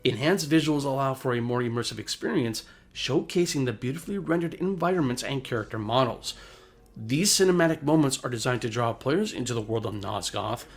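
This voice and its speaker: A male narrator reads a scripted video essay. His voice sits at a medium pitch, and his delivery is polished and formal, explaining each point in full sentences. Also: lively intonation, a little fast.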